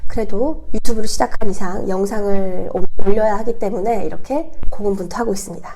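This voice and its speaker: A woman from South Korea chatting casually to viewers as a vlogger, her voice hoarse and congested from a cold, explaining things in a talkative way. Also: mid-range voice, brisk, dark tone. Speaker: vlogger hosting own channel.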